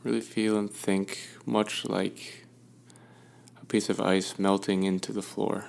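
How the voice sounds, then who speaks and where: A man leading a guided meditation with gentle, soothing instruction and unhurried pauses. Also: fairly low pitch, level tone, gravelly.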